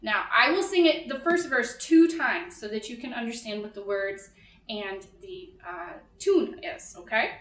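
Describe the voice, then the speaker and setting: A woman leading a toddler storytime in simple short phrases, with an animated, sing-song, encouraging manner. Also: on the high side, measured pace. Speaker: children's librarian leading storytime. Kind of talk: toddler storytime, singing-along instruction and read-aloud. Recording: echoey room.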